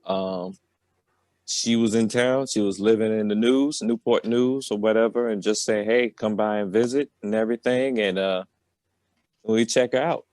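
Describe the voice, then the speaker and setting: A man's voice: lowish voice, normal speed, a little mellow, no room sound. A man telling a story on a podcast in a casual, rambling, slangy way. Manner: colloquial, slangy.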